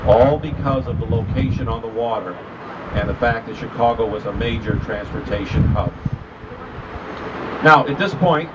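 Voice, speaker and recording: A man's voice: medium pitch, moderate pace. An older, retired man giving live architecture tour commentary on an open boat, telling it like a storyteller with dry humor. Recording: distant muffled mic, loud wind and engine noise. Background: loud wind, outdoor city and boat noise.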